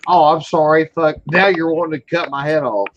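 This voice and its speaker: A man's voice: on the high side, fairly even intonation, a little fast, highs cut off, noisy background. Rowdy, teasing man on a call. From Colorado. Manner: loud, teasing, profane, repeats "hey". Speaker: livestream participant. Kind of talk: rowdy casual chat.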